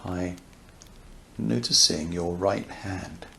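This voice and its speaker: Deep-ish guy doing yoga nidra, super gravelly vocal fry, soft n slow with big pauses, faint fireplace noise behind. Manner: soft, unhurried, soothing, with long pauses. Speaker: guided relaxation instructor. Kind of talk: guided yoga nidra meditation. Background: crackling fireplace ambience.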